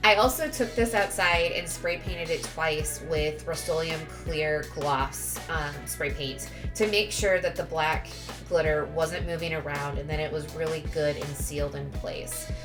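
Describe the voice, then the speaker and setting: A woman's voice: low voice, unhurried. A woman giving a casual, chatty craft tutorial voice-over, sounding sniffly with a cold and often correcting herself. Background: background music.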